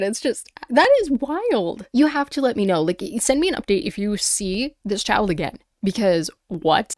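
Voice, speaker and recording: A woman hosting a paranormal story show, reading listener tales aloud and reacting with animated, rambling, exclamatory asides. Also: mid-range voice, no echo, studio quality. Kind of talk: chatty commentary and reading listener stories aloud.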